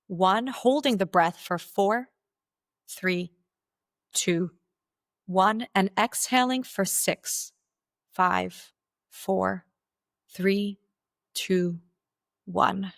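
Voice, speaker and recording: A woman leading a guided meditation as its guide, speaking in slow, deliberate instructional phrases with pauses. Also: mid-range voice, creaky, no echo, studio quality.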